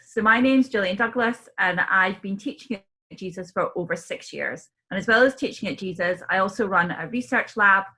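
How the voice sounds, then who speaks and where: A middle-aged woman, a medical tutor, explaining a course in a measured way. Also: mid-range voice, fairly bright tone. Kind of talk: virtual open day presentation.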